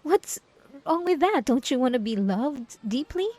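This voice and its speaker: A woman playing a possessive, obsessive ex-girlfriend in an ASMR roleplay, with drawn-out, cooing condescension and sweet menace. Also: sits high, expressive, mellow, dry recording. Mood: possessive, coaxing. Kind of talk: ASMR roleplay monologue to the listener.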